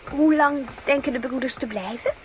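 A shy, smitten young village girl, acted by a woman in a radio drama. Her voice is high and she speaks hesitantly, bashful yet eager, over clear scene noise. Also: normal speed, natural voice. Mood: shy longing. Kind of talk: Dutch radio drama acting.

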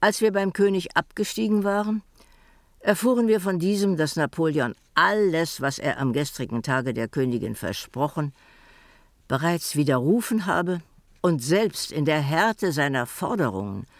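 Animated, high-voiced courtly male diarist. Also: normal speed. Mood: grave. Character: observant, scornful courtly diarist recounting royal events. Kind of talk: dramatized reading of a historical diary.

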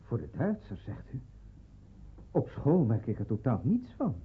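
A quiet, respectful schoolboy, with a very husky voice and varied intonation, in a thin-sounding radio play. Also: male voice, medium pitch, wildly swooping pitch, a little slow, full character voice. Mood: subdued, respectful. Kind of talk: radio drama dialogue. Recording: tinny band-limited old radio play.

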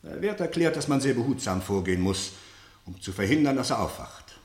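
An actor playing a stern military general who interrogates in a probing, sardonic and authoritative way in a radio play. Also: male voice, mid-range voice, very animated, fairly quick. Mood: probing, sceptical. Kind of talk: German radio play acting. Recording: roomy, echoing studio radio play.

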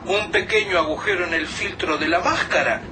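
An actor playing an anxious, distrustful survivor in a radio drama, speaking urgent colloquial Rioplatense Argentine Spanish. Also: male voice, high voice, normal speed, lightly acted. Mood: fearful, distrustful. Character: Lucas, anxious distrustful man surviving a deadly snowfall. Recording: noisy, distant from the mic.

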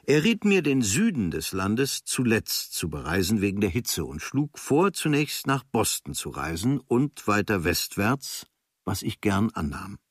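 An actor reading as an observant writer recounting travels from a diary, in clipped, dryly observant phrases with a husky voice. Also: male voice, on the low side, very animated, measured pace, no echo, clean studio sound, full character voice.

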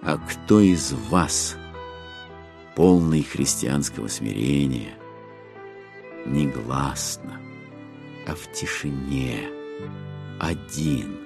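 A man narrating a literary audio play in a theatrical, rhetorical and expressive manner. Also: extremely low pitch, drawn-out words, vocal fry, pauses often, soft background music.

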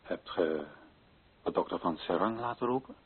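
An actor playing an insistent, authoritative colonial official in a radio play, questioning in a formal, commanding way. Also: male voice, sits low, expressive, normal speed, slightly rough, heavily acted. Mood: urgent questioning. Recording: thin, phone-like old radio recording.

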